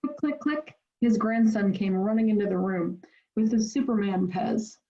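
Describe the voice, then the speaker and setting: A woman's voice: mid-range voice, normal speed, warm tone. A woman reading her own short story aloud in a writing workshop over a video call. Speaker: workshop participant. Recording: dull lo-fi call audio.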